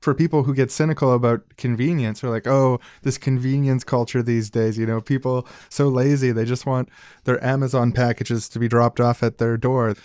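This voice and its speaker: A man's voice: medium pitch, fairly even intonation, normal speed, darkish tone, clear, resonant tone, no room sound. A man co-hosting a podcast, talking casually and comically in wry, wordy explanations. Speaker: podcast co-host. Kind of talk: casual comedic discussion.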